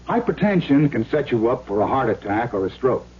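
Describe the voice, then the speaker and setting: A man's voice: mid-range voice, very animated, a little fast, slight background noise, lightly acted. An American actor playing a knowing, patient man in a 1970s radio public service spot. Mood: explaining. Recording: dull vintage radio broadcast.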